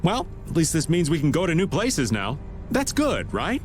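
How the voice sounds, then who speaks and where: A man's voice: on the high side, huge pitch swings, normal speed, faint music behind. A man voicing a goofy, folksy middle-aged rogue in a video game, with a clownish, theatrical manner. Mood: casual. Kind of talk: animated video game dub acting.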